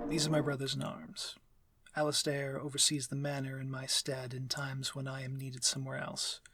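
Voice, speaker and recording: A man playing a courteous, commanding vampire lord in an intimate ASMR roleplay, speaking formally in a measured, breathy, near-whispered voice. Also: medium pitch, sharp tone, a little hiss or hum. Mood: composed. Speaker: audio roleplay voice actor.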